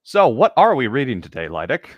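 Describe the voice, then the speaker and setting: A man co-hosting in casual chat, reacting with playful, slightly halting asides. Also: mid-range voice, wildly swooping pitch, no echo, highs cut off. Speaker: co-host.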